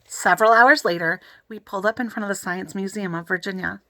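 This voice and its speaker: A woman's voice: medium pitch, fast talker, fairly bright tone, dry recording. A woman reading a children's story aloud expressively. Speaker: audiobook reader.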